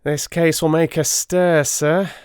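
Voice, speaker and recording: A man playing an excitable, boastful Victorian police detective in an audiobook, emphatic and exclamatory. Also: fairly high pitch, slow, smooth, dry recording, clean studio sound, pitched above the performer's own voice. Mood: excited.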